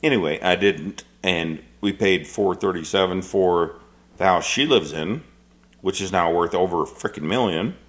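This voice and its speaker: A man's voice: sits low, normal speed, fairly bright tone. A man in his forties, co-hosting a podcast in casual chat, rambling and blunt with frequent swearing. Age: 40s. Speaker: podcast co-host.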